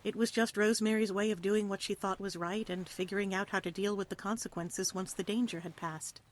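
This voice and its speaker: A woman narrates an adventure story from a script. Her voice sits at a middle pitch, and her delivery is expressive and theatrical, suited to an audio play. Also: a little fast, slight background noise. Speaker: narrator, reader.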